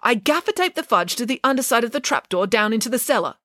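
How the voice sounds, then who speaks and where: Woman playing a haughty, excitable lady pig nanny, animated. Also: a little high, quick pace, no echo, clean studio sound, voice pushed up. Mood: self-assured. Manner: emphatic, pompous declarations.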